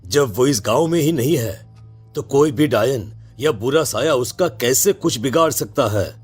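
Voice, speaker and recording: A man's voice: mid-range voice, lively intonation, unhurried, breathy edge, soft background music. A man playing an anxious, protective village headman and father, speaking earnestly and hesitantly in a dramatized story. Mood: grave, worried. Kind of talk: Hindi audio story acting.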